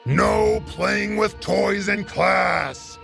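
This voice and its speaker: A woman voicing a mean, angry male substitute teacher in a children's story, shouting sternly in a harsh, gravelly voice. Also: medium pitch, very slow, faint music behind, pitched above the performer's own voice, heavily acted.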